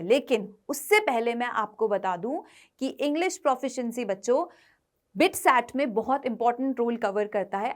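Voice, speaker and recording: A high-voiced woman with an Indian accent gives a classroom-style English lesson. She explains point by point with firm emphasis, often repeating herself and checking that learners are following. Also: fairly bright tone. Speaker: English exam-prep teacher.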